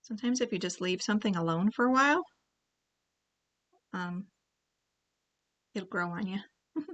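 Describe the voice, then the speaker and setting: A woman leading a relaxed paint-along tutorial, chatting and thinking aloud as she paints, and now and then clearing her throat. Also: mid-range voice. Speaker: painting instructor.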